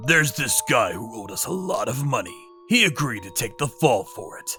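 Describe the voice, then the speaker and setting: A man voicing a hardboiled male vigilante who narrates the story in a grim, steady manner in a manga dub. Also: medium pitch, normal speed, music in the background, natural voice.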